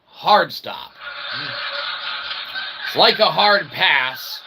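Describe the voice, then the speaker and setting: A man's voice: a little high, expressive, drawn-out words. A man delivering a rambling solo monologue in wordy, mock-formal phrasing, with theatrical swings in emphasis and repeated emphatic tags. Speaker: independent channel host and developer. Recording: noticeable background noise.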